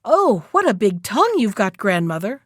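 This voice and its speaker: A woman's voice: mid-range voice, big pitch swings, moderate pace, no echo, studio quality. A woman playing an innocent, trusting, cheerful little girl in a storybook tale. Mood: astonished. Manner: bright-eyed, naive, exclamatory.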